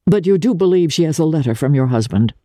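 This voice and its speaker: A woman voicing an earnest, anxious young society gentleman, urgent and formal, in a dramatic reading. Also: low-pitched, expressive, quick pace, no echo, studio quality, voice pushed up. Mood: tense, probing.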